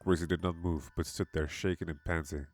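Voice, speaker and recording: A man's voice: low voice, fairly even intonation, fairly quick, darkish tone, no echo. A man giving a dramatic scripted narration of a horror story. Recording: music behind the voice.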